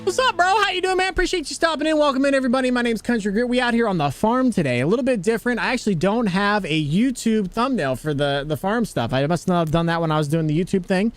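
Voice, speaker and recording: A young man with an American accent hosts a gaming livestream, chatting loosely with viewers and a friend. His voice sits a little on the high side. He talks in a relaxed, joking way into a desk mic. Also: quick pace, hardly any pauses, faint music behind. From United States. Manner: chatty, greets viewers, jokey. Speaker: livestream host. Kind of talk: casual livestream chat while gaming.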